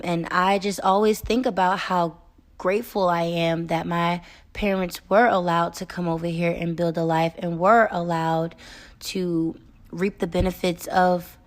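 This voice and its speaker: A young American woman hosting a solo podcast, talking in an earnest, emphatic way with frequent "um" and "okay?". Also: on the low side. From United States. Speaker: podcast host.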